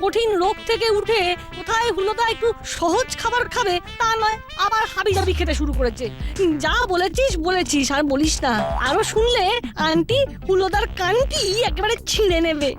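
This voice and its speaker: A woman's voice: very high voice, moderate pace, music in the background. A woman voicing a sly little schemer boy in a children's cartoon, conspiratorial and full of mock concern.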